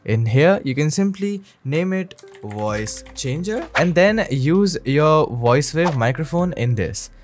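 A man narrating a scripted software tutorial as an upbeat, instructional YouTube host who addresses viewers directly. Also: fairly high pitch, lively intonation, slow, few pauses, background noise. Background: background music.

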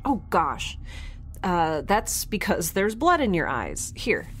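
An actress playing a flustered, sarcastic young woman scientist in a science fiction audio drama, with a halting, rambling, wry delivery. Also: lowish voice, very animated, a bit bright, a little hiss or hum, heavily acted. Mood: worried, flustered. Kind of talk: naturalistic audio drama dialogue.

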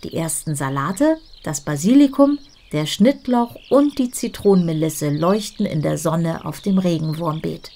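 Ruhige Vorleserin mit tiefer Stimme. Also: female voice, low-pitched, lively intonation, unhurried, hardly any pauses, mellow. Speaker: storybook reader. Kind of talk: scripted picture book narration.

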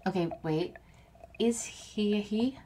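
A woman's voice: medium pitch, takes it slowly, dark tone, slight background noise, lightly acted. A woman playing a wry, emotional heroine kept as a living head in an audio drama, in naturalistic, pointed dialogue. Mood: playful.

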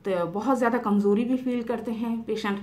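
A middle-aged woman homeopathic doctor, explaining remedies to camera in an unhurried way with many fillers, in a small room. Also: a little high, fairly even intonation, darkish tone. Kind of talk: health advice talk to camera. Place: small indoor room by a curtained window.

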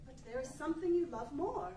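An actress playing a sorrowful, principled young fiancée in a radio play, speaking earnestly and deliberately. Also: female voice, sits high, expressive, warm tone, slight background noise. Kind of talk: 1940s live radio play acting. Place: large meeting room with seated audience, far camera. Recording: echoey hall, distant microphone.

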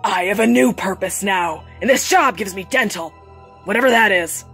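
A woman voicing a gruff, rule-obsessed brawny female guard in a fan cartoon, blunt and barking. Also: medium pitch, normal speed, over music, natural voice. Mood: blunt, earnest.

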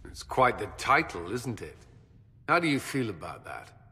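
A man's voice: lowish voice, very animated, moderate pace, bright, forceful tone, in a large hall, exaggerated voice. An actor playing an earnest male military commander who speaks firmly and directly.

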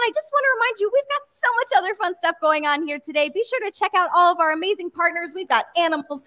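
An American woman hosting a 1940s-style radio variety show on stage, with an animated, theatrical delivery. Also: very high voice. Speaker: radio show host and presenter. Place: stage in a museum event hall. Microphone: stand microphone on stage.

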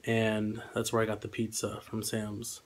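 A man's voice: lowish voice, completely flat delivery, unhurried. A young man with a slightly congested voice, chatting casually and hesitantly as he rambles through a vlog. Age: young adult. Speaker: vlogger. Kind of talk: casual eating vlog chat. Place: small room indoors at home.

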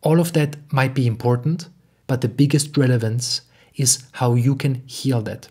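A young man from Austria speaking in an earnest, emphatic way, slowly repeating phrases as he talks alone to the camera at home. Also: medium pitch, steady tone, darkish tone, husky. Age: young adult. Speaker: podcast host and spiritual teacher. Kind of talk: reflective solo talk. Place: home living room.

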